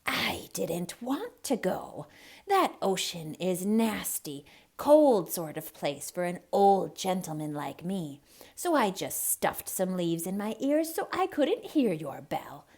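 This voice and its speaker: A woman voicing a sly, grumpy old male snake with a hissing, whispery, drawn-out delivery in a children's story. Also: on the low side, big pitch swings, a little mellow, full character voice. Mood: smug.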